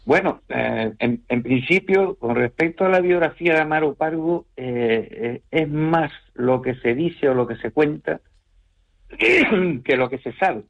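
A man, a novelist from the Canary Islands, answering radio interview questions in a measured, thoughtful way with frequent hesitations and tag questions. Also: a little high, gravelly. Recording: muffled radio broadcast.

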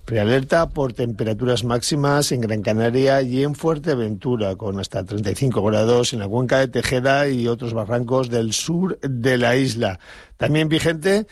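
A man's voice: medium pitch, normal speed, no echo. Dry-sounding male radio reporter. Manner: steady reporting. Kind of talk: news report.

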